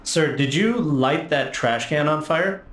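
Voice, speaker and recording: A man's voice: on the high side, a little slow, fairly bright tone, roomy sound, far from the mic. A man voicing an irritated, officious security guard who lectures and makes bossy demands.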